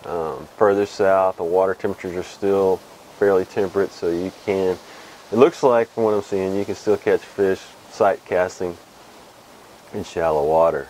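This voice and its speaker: Rambling Texan fishing host, low voice. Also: male voice, a little hiss or hum, rough recording. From Texas. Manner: relaxed and rambling, many uh and um pauses. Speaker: fly fishing show host. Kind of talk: casual weekly fishing report to camera. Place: outdoors under a shed with corrugated metal wall. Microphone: lapel mic.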